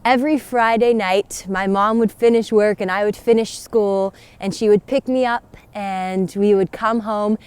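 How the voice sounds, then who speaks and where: A young woman from Pennsylvania recalls her childhood in a chatty way. Her voice is clear and smooth at a middle pitch, and it sinks low and soft as she remembers. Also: steady tone, a little slow, hardly any pauses, no echo. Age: young adult. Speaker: interviewee, young artist. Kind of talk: casual personal storytelling to camera.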